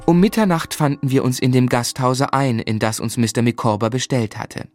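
Male radio-play narrator an actor, reflective, literary, mid-pitched, closely recorded in a dry studio. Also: moderate pace, no room sound, close to an everyday voice. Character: reflective gentleman narrating his own life story.

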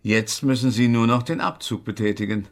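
An actor playing a self-important, persuasive scientist in a German radio drama, with a pompous, instructing manner. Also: male voice, mid-range voice, fairly quick, natural voice. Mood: coolly persuasive.

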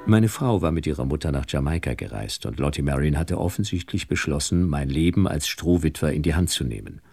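Tired wry producer in a radio play, gravelly, voice moves around a lot. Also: male voice, a bass voice, expressive, fairly quick, a little mellow, full character voice. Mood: wry, reflective. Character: world-weary male theatre producer narrating his own downfall. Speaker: narrator and lead actor. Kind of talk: radio drama narration and dialogue.

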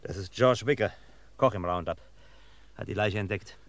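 An actor playing a brisk, impatient police lieutenant in a German radio crime play. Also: male voice, lowish voice, slight background noise, natural voice. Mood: brisk, calling.

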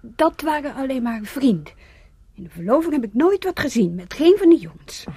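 High-voiced, insistent young woman. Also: very animated, normal speed, mellow, husky, dry recording, full character voice. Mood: pleading, anxious. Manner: insistent, hesitant repetitions. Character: determined young woman, pleading and pushy girlfriend. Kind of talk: radio play acting.

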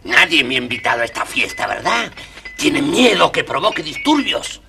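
An actor playing a spiteful, boastful gnome with a gravelly, menacing voice on a children's storybook record. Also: male voice, on the high side, sing-song, moderate pace, over music. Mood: sneering, jealous. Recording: noisy old storybook record.

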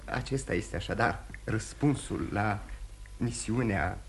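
An actor playing a remorseful, courteous, dissolute gentleman husband in theatrical radio drama style, candid and halting with repetitions. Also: lowish voice, slight background noise, natural voice. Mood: remorseful, agitated. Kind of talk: mid-century Romanian radio theatre acting.

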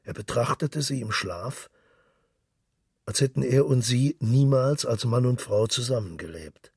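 A man narrating a literary story for radio in a measured, solemn manner. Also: medium pitch, darkish tone, slightly rough, no echo, studio quality.

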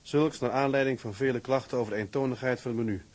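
A man's voice: medium pitch, steady tone, quick pace, a little mellow, close to an everyday voice. A man playing a blunt, hot-tempered tugboat mate in a naturalistic period radio drama. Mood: dry, bitter.

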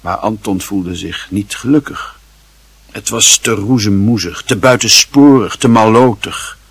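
A man's voice: fairly low pitch, a little slow, breathy edge, no echo. A man reading scripted literary narration as the narrator of a radio drama.

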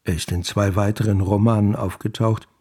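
A wry author narrating his own story in a radio play. The voice is low, creaky and husky, with a reflective, conversational delivery that sounds pleased with itself. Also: steady tone, a little fast, warm tone. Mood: wistful. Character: wry, self-satisfied male novelist musing on his characters. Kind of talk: narrating in a German radio drama.

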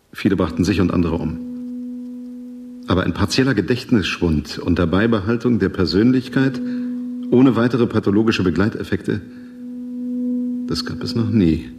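A methodical male space officer in a radio play. His voice sits fairly low, and he speaks soberly, in a measured, factual manner. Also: background noise. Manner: measured, matter-of-fact. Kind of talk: German radio drama acting.

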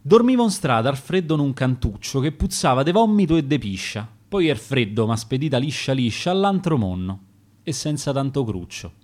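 A man's voice: mid-range voice, very animated, moderate pace, darkish tone. A man as a bitter dead homeless woman, Roman accent.

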